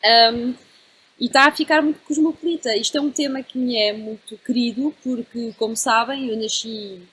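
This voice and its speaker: A woman's voice: fairly high pitch, fairly even intonation, normal speed, fairly bright tone, slightly rough. A woman in her thirties with a European Portuguese accent, chatting in a panel discussion and often checking in with tag questions. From Belgium (Brussels), Portuguese family. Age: 30s.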